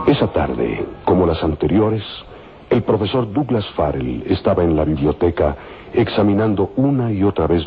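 A man narrating a scripted radio serial in a solemn, literary way with measured phrasing. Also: very deep voice, a little hiss or hum. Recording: dull lo-fi old radio recording. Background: music.

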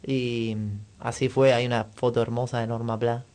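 A man's voice: mid-range voice, little pitch movement, moderate pace, a little mellow. An Argentine man hosting a radio show, talking politics in an informal, conversational way. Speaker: radio host. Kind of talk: casual chat, political commentary.

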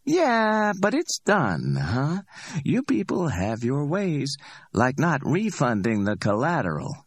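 A man's voice: medium pitch, wildly swooping pitch, slightly rough, voice pushed up, full character voice. A man voicing a cocky middle-aged hustler who teases and drawls his words. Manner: teasing, drawn-out, challenging.

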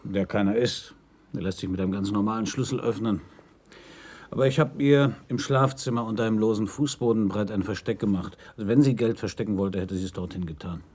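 An actor playing an uneasy, confiding man under police questioning in a German radio crime drama, husky and hesitant. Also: on the low side, a little fast, darkish tone. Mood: guarded.

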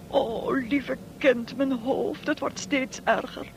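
A woman's voice: high voice, fairly even intonation, slow. An actress playing an overbearing, gossipy, wealthy society lady in a radio play, condescending and theatrical, on a noisy old recording. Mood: condescending, dramatic. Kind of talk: radio drama acting.

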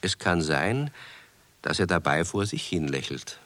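A male narrator with a deep voice tells a children's story. His intonation is very animated, with measured emphasis, and the recording is close and dry. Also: no echo.

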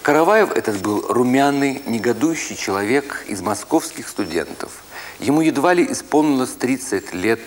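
A man reads a first-person literary story as a dramatic narrator, with expressive, deliberate phrasing. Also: medium pitch, slight background noise. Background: music.

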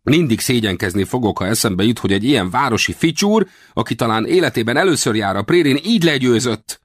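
A man's voice: mid-range voice, lively intonation, no room sound, clean studio sound, voice pushed up, natural voice. A man voicing a cranky, proud little old Wild West hunter in a storybook, with blustering, exclamatory, folksy talk. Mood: bitter, scornful.

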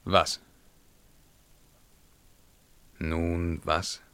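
An actor playing a tormented, world-weary emperor in a German verse radio drama, declaiming between outcries and hushed murmurs. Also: male voice, sits low, level tone, very slow, clean tone, exaggerated voice. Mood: stern, searching.

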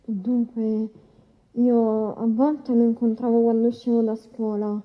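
A woman's voice: fairly high pitch, flat delivery, a little slow, lo-fi sound. A woman playing a guarded young victim who testifies in short, plain answers in a courtroom radio drama. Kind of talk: courtroom docudrama radio acting.

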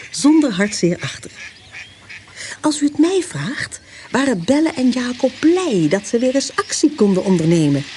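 An actress as the narrator of a historical adventure radio play, telling the tale in a measured, wry way. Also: female voice, medium pitch, dark tone, a little hiss or hum, natural voice. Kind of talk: scripted storytelling narration in Dutch radio play.

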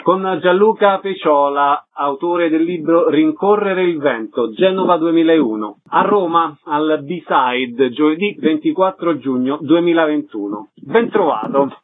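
A man's voice: fairly high pitch, lively intonation, measured pace, hardly any pauses, no room sound. A man hosting a radio interview, making concise, formal announcements and short questions. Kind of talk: scripted radio presenting. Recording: narrowband lo-fi radio sound.

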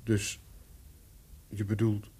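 An actor playing a lonely, brooding man who tells his own story in a radio play, deliberately and slowly. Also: on the low side, monotone, mellow, breathy edge, exaggerated voice. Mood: challenging. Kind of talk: radio play monologue and dialogue.

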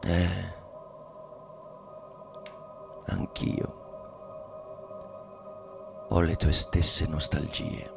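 An actor playing a domineering, tormented bourgeois husband obsessed with death, in an intense theatrical radio drama reading. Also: male voice, extremely low pitch, flat delivery, vocal fry, soft background music, heavily acted. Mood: quiet, grave. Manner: slow, halting, intense delivery.

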